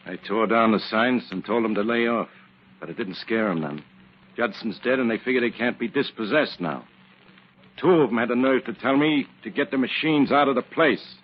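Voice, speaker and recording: A man's voice: medium pitch, normal speed, natural voice. An actor playing a tough, coolly ruthless gangster henchman with slangy, ungrammatical speech in an old-time radio drama. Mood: wary. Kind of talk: 1940s radio crime drama acting. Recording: muffled, noisy old radio recording.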